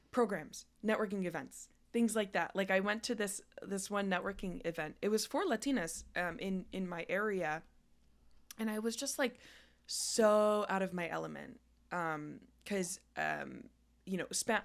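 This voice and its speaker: Woman podcast host, lowish voice, casual chatty delivery with restarts. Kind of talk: casual conversational interview.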